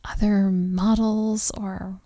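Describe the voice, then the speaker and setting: Girl acting as flustered shy hotel maid, audio roleplay, soft mellow voice, super slow n hesitant, close dry sound, kinda giggly. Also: medium pitch, level tone, no echo, close to an everyday voice. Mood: flustered, self-deprecating. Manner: hesitant, halting, giggly, softly breathy. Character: flustered, self-deprecating young hotel maid warming to a stranger. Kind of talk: intimate audio roleplay, one-sided conversation.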